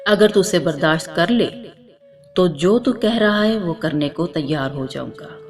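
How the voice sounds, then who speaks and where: A woman's voice: lowish voice, animated, measured pace, a little mellow. A woman storyteller voicing a pious, stern old male hermit in a solemn, admonishing manner. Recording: music under the voice.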